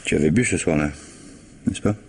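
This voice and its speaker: A middle-aged police detective in a French radio thriller. His voice sits very low and he speaks with measured, ironic authority. There is some noise on the vintage-style recording. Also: gravelly. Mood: steady, pressing. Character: calm, firm male police detective, a worried woman's ex-husband. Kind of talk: French mystery radio drama acting.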